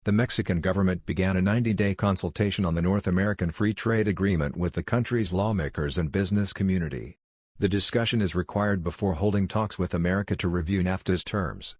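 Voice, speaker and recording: A man reads prepared practice passages aloud for learners. His voice is low in pitch, and the recording is dry with very little room sound. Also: quick pace, no echo. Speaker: exam practice reader.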